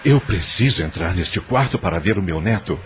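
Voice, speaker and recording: A man's voice: sits low, expressive, normal speed, a little hiss or hum. An actor in an audio drama playing an indignant, demanding older grandfather, emphatic and aggrieved.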